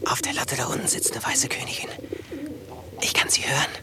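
A man's voice: fairly quick, in a whisper, lightly acted. An actor playing an intense, dreamy teenage boy obsessed with birds, in a radio drama. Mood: hushed, intent. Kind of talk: German radio drama acting. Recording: clear noise, clicks and cooing pigeons. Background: pigeons cooing, clicks.

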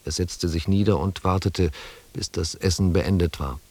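An actor narrating an audio drama as an expedition member, in a measured, sober, descriptive literary style. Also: male voice, deep voice, a little mellow, dry recording. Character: composed expedition member recounting the journey.

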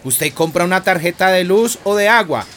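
A woman's voice: fairly low pitch, lively intonation, moderate pace, slight background noise, lightly acted. A woman playing a condescending, easily flustered male utility inspector in a radio drama, officious and patronising.

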